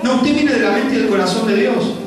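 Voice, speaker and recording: Man, preacher, very high voice, loud echoing hall, congregation behind, distant mic. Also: brisk, crowd noise. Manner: rhetorical questions, shouting and repetition. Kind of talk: fiery sermon. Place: large church hall with congregation.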